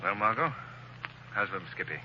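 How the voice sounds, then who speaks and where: A suave gentleman sleuth played by a man answers with dry, self-assured wit. His voice sits a little low. The sound is dull and lo-fi with heavy hiss, like an old radio broadcast. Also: expressive, a little fast, natural voice. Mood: wry. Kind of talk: 1940s radio acting.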